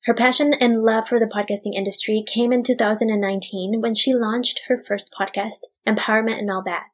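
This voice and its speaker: A woman host opens her bilingual podcast episode with an upbeat scripted introduction. Her voice has a soft, husky grain, and she slips easily between English and Spanish phrases as she reads. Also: on the high side, a little fast. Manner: upbeat, even reading with Spanish phrases mixed in.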